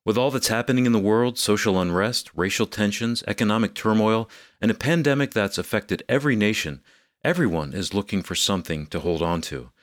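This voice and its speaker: A man's voice: lowish voice, brisk, no echo, studio quality. A man, the co-host, reading an upbeat scripted announcement.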